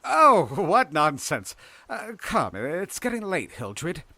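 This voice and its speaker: A man reading an audiobook plays a concerned soldier talking down a relative. He is kindly and humoring, with some hesitation, and his pitch sits high and moves freely. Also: wildly swooping pitch, slow pace, bright, forceful tone, no room sound, full character voice. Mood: placating. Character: concerned male cousin, a soldier, soothing and kindly. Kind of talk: audiobook character acting.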